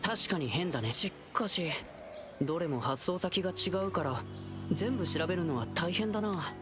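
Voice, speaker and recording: A woman's voice: lowish voice, animated, normal speed, music in the background, natural voice. A woman voicing an earnest, upbeat teenage boy in an anime game, eager and casual in speech. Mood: concerned.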